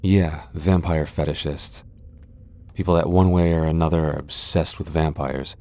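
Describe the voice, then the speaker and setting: A man's voice: a bass voice, fairly even intonation, moderate pace, a little mellow. A man playing a proud, sardonic vampire lord in a fantasy ASMR roleplay, with a dry, sighing delivery. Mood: scornful, exasperated. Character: proud, sardonic male vampire lord, cornered yet defiant. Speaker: voice actor in a roleplay audio.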